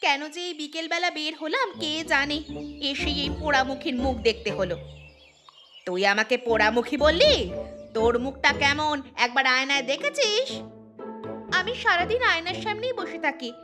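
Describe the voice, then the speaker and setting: A high-pitched young village housewife from a cartoon moral tale. She is proud and sharp-tongued, pushing her words out in emphatic taunts. Music plays clearly behind her. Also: expressive, normal speed. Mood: haughty, quarrelsome. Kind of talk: cartoon moral-story voice acting.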